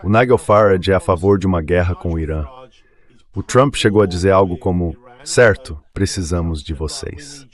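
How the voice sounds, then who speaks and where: Deep ai male dub voice, chatty political interview style, text-to-speech. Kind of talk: dubbed political interview, conversational.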